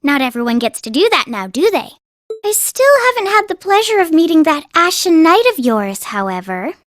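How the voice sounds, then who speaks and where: Very high-voiced, genteel young princess. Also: female voice, very animated, normal speed. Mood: cheerful, playful. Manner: refined, genteel, formal phrasing. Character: sweet, poised teenage princess putting on a brave face. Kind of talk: anime game dub acting.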